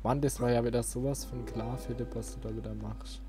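A man's voice: mid-range voice, fairly even intonation, a little fast. A man giving casual, informal let's play commentary, often muttering to himself. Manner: informal, muttering self-talk. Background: game music.

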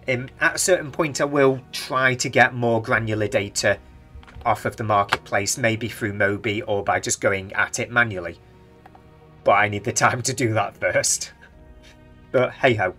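Young british guy rambling about game market stats, bright forward voice, bit of music underneath. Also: medium pitch. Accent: British English. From Britain. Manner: rambling, chatty, speculative. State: slightly losing his voice. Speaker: gaming market commentator. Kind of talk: casual commentary. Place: small home room indoors. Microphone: desk microphone near face.

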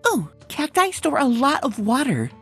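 A woman puts on a young female pony for a comic dub, bubbly and gushing. The voice is husky and very lively, with casual slang and faint music behind. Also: high voice, normal speed, heavily acted. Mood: eager. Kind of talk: comic dub character acting.

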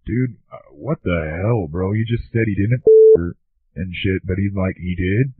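A man's voice: deep voice, measured pace, husky. A man telling slangy comic anecdotes like a surfer dude, recorded with thin telephone-like sound. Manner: surfer-dude drawl, constant bro and man. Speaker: comedy podcast performer. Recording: thin, tinny phone-like sound.

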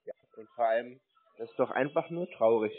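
A man who scolds with indignant, mocking scorn, firmly lecturing the person on the other end. His voice sits at an ordinary middle pitch. It comes through narrow and tinny, like a phone line. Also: fairly quick, a loud crowd. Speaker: scam-baiting caller.

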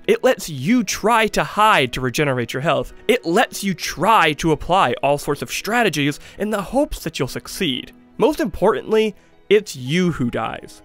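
Male video essayist, 20s; lively, higher voice, emphatic key phrases, music underneath. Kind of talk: conversational scripted video essay commentary on games. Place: small studio with acoustic foam. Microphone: studio microphone.

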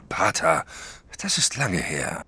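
An actor playing an impatient, baffled detective's companion in a German audio drama, excitable, questioning and sceptical. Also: male voice, lowish voice, drawn-out words, breathy edge, full character voice. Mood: sheepish.

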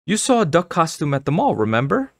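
Guy doing a brisk eager storybook mom, pitched way up, emphatic, dry sound. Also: huge pitch swings, no echo. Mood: bright, brisk. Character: energetic middle-aged mother, insistent, proud, easily hurt.

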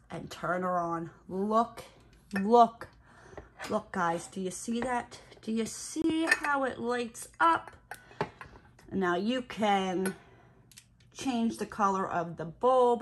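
A woman chatting casually to viewers during an unboxing, playful and sassy, full of exclamations, slang and mild swearing. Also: mid-range voice. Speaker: craft and unboxing vlogger. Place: indoors at a glass-topped table. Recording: clear background noise with handling clicks.

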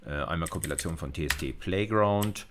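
A man's voice: low-pitched, fairly quick, background noise. A middle-aged man giving an informal university lecture, thinking aloud in a colloquial way as he demonstrates. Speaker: university lecturer in computer science. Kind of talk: informal lecture narrating a live coding demo.

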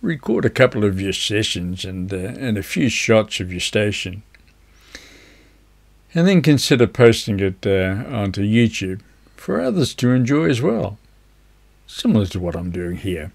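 A man speaks in a fairly low voice. He presents practical advice informally, in a measured, explanatory way, as if talking straight to the viewer. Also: sing-song, vocal fry. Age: older, around 70s. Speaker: amateur radio operator, video presenter. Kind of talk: informal instructional talk to camera. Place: indoors, seated before a virtual beach backdrop.

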